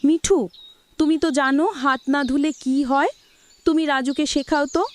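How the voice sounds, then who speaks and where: A woman's voice: high-pitched, fairly even intonation, unhurried, slight background noise. A woman voicing a caring, bossy young girl in a children's cartoon. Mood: urging. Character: caring, bossy young sister teaching her brother.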